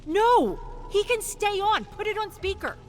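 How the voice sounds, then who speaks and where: Narrator doing driven female talk show host, high lively delivery, game music behind. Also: unhurried, exaggerated voice. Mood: urgent.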